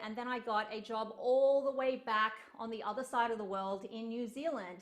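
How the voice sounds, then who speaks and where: A young woman gives a lecture over a video call. Her voice sits a little high, and she talks in an earnest, chatty way, filling pauses with ums and uhs. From Australia. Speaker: cellist giving an online lecture. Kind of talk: online lecture presentation. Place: home room on a video call.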